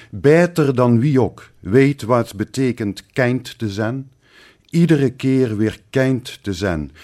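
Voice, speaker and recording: A man recites a devotional poem at a very slow pace. His pitch sits in the middle range for a male voice. The delivery is solemn and measured, built on repeated phrases and rhetorical questions. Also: darkish tone. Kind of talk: slow, solemn poetic recitation of a Christmas meditation.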